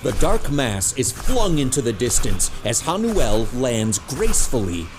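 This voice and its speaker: A man's voice: medium pitch, big pitch swings, unhurried, noisy background. A man narrating a superhero audio drama in a dramatic, scripted style.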